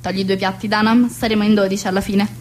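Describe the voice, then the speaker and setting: A woman's voice: mid-range voice, level tone, quick pace, darkish tone, a little hiss or hum, natural voice. A woman playing a fussy, theatrical middle-aged society hostess and mother in a radio comedy set in the 1920s. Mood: indignant, ranting. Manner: theatrical, exasperated, grandiose. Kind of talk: radio play acting of a 1920s stage comedy.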